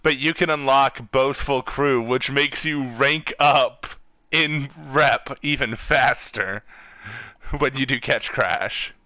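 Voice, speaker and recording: A man's voice: medium pitch, takes it slowly, sharp tone, no echo. A man streaming a video game and chatting casually with a friend in a rambling, sweary way. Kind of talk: casual gaming chat with a friend over voice chat.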